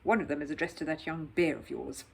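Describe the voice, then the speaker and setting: A woman's voice: low voice, fast, creaky, breathy edge, voice pushed down, heavily acted. Woman doing a courteous, careful postman.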